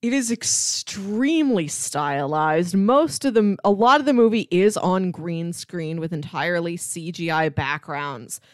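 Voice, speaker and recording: Low-pitched woman in a dry recording. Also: expressive, unhurried, few pauses, no room sound. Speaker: podcast co-host. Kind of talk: casual film discussion and plot summary.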